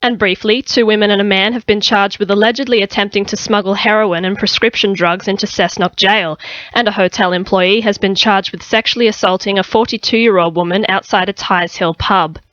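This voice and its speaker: An Australian woman reads the news from a script. Her voice sits at a medium pitch in a very dry studio. The broadcast audio is a little dull. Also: fairly even intonation, brisk, fairly bright tone, dry recording. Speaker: news reader. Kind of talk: scripted radio news bulletin.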